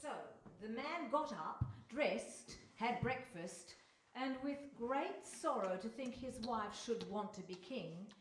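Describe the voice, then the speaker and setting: A woman's voice: a little high, expressive, takes it slowly. A woman narrating a storybook tale as part of a live radio play. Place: stage before a live audience in a hall. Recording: echoey distant hall, background noise.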